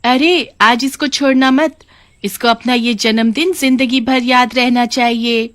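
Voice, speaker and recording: A bossy, mean little schoolgirl from a cartoon story, as a woman puts her on, her voice raised well above the narration. She talks brightly and orders the others around. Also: on the high side, steady tone, a little slow, no room sound, clean studio sound, pitched above the performer's own voice. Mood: mocking. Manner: bossy and cheerful. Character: proud, mean young schoolgirl.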